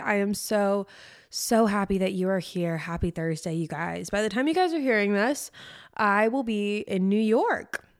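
A young woman hosting her own podcast, chatting bubbly and casually, often repeating words for emphasis. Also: mid-range voice. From East Coast of the US. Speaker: podcast host. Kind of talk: casual chat.

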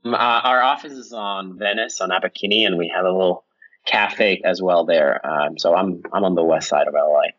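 A man from Finland speaks as an interview guest, and his voice sits very low. He talks in a loose, rambling way, with plenty of ums and restarts. The recording sounds a little muffled and lo-fi. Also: moderate pace. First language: Finnish. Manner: relaxed, rambling with fillers and restarts. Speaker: company founder, guest. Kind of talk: casual interview answers.

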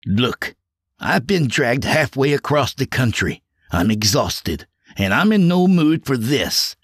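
A man's voice: medium pitch, big pitch swings, takes it slowly, slightly rough, no room sound, studio quality, pitched above the performer's own voice, full character voice. Man voicing a bitter worn-out doctor. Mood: irritable. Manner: bitter, snapping. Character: irritable, exhausted male doctor.

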